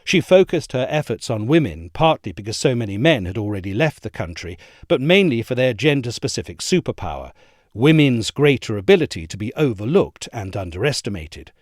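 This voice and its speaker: Man, audiobook-style essay narration, expressive storytelling, dry close recording. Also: mid-range voice, dry recording. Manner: measured, expressive storytelling read. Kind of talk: scripted narration.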